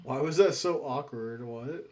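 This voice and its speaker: A man's voice: mid-range voice, measured pace, darkish tone, soft background music. A man hosting a reaction video, giving blunt, joking commentary in casual slang.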